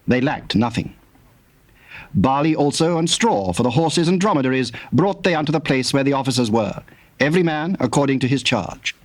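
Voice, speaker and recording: A man narrating scripture from a script in a formal, measured manner. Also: medium pitch.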